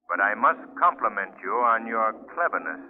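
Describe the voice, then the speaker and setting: An actor playing an invisible avenger in a 1940s radio drama, coolly menacing, formal and mocking. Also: male voice, lowish voice, very animated, normal speed. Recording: tinny 1940s radio recording.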